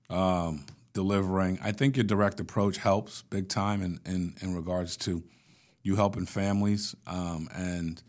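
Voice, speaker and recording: A man's voice: low voice, a little mellow. A man hosting a podcast, wrapping up an interview in a laid-back, slangy way. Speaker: podcast host. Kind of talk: casual interview wrap-up.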